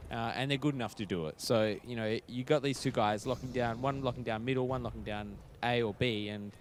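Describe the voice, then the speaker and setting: A man's voice: medium pitch, a little fast, noisy background. A man giving chatty color commentary, hedging his analysis with "I mean" and "look".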